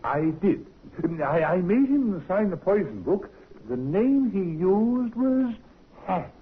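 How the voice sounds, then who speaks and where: A man's voice: sits high, sing-song, slow pace, breathy edge, exaggerated voice. An actor playing a nervous shopkeeping chemist who hesitates and stammers through his answers in an old radio drama. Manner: hesitant, full of uhs, stammers. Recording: tinny vintage radio broadcast.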